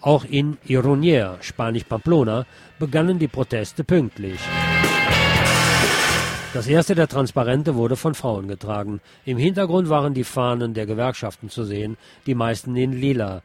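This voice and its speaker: A man reads a printed news report aloud for his radio show. His delivery is steady and measured, with a voice of middling pitch. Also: over loud music. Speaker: radio host reading a news article.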